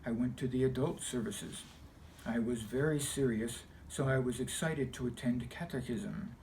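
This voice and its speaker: A middle-aged man from Los Angeles with an American accent, delivering a scripted personal monologue in deliberate, emphatic, short measured phrases. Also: mid-range voice, creaky, a little mellow, slight background noise, rough recording. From Los Angeles, United States. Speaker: video essayist telling personal views.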